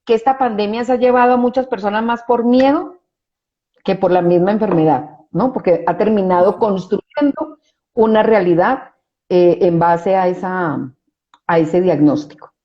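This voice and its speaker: A woman's voice: mid-range voice, normal speed, dark tone, highs cut off. A woman psychologist, explaining her view in an interview, often restarting phrases and adding tag questions for agreement. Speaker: psychologist guest.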